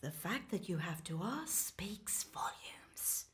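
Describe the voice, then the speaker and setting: A woman's voice: lowish voice, sing-song, takes it slowly, a bit bright, whispered, exaggerated voice. A woman playing an exasperated, worried wife, reproachful and insistent, in a radio comedy. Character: exasperated, worried wife delivering firm ultimatums.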